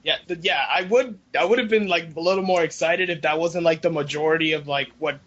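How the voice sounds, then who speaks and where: A man co-hosting a casual group podcast, chatting in a jokey, animated way and swearing freely. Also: a little high, fairly quick. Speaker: podcast co-host. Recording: dull lo-fi voice chat.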